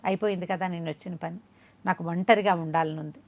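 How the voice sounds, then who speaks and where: A woman's voice: fairly low pitch, fairly even intonation, a little fast, voice pushed down, close to an everyday voice. A woman storyteller voicing a weary, despondent doomed man in a folk tale, subdued and respectful.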